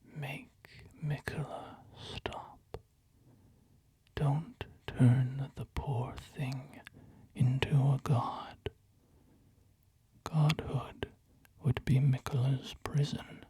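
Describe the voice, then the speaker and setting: A man in a slow whisper, voicing a gentle saint spirit who gives solemn commands. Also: medium pitch, level tone, pitched above the performer's own voice. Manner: hushed whisper.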